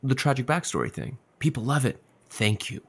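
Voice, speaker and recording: A man's voice: mid-range voice, big pitch swings, fairly quick, vocal fry, slightly rough, full character voice. A man playing a smug, folksy veterinarian who co-hosts a radio advice show in an audio comedy. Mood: smug, confiding. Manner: folksy, rambling, self-satisfied. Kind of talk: scripted audio comedy acting.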